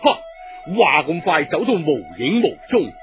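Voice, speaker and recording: Animated Cantonese storyteller man, music behind. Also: on the high side, unhurried, dull audio. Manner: expressive, sighing, theatrical. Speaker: storyteller narrator. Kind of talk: dramatic Cantonese folk-tale narration.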